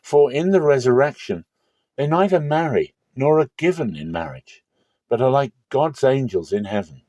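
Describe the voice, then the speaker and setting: A man's voice: mid-range voice, big pitch swings, moderate pace, dark tone, no echo, voice pushed up, lightly acted. A man voicing a firm, authoritative teacher in a dramatic scripture reading, emphatic and declarative. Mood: authoritative teaching.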